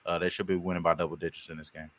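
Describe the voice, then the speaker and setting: A man's voice: low-pitched, little pitch movement, rapid-fire. A man on a sports betting panel, casually chatting through his picks.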